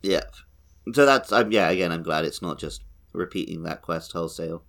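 A man's voice: deep voice, fairly quick, a bit bright. A man co-hosting a podcast, talking casually through a video game discussion. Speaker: podcast co-host.

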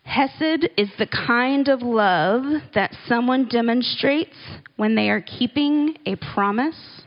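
A woman giving a relaxed, conversational Bible teaching, with small laughs and asides as she explains scripture. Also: on the high side, slow pace, dull audio. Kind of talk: relaxed church teaching talk.

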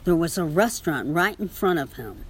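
A woman reading a children's picture book aloud in an expressive storytelling manner. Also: lowish voice, normal speed, slightly rough, rough recording. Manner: expressive storytelling with small spoken asides. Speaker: story reader.

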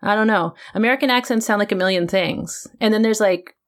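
A woman's voice: mid-range voice, a little fast. A woman with a Canadian accent, chatting casually as a podcast host. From Vancouver, Canada. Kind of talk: casual chat.

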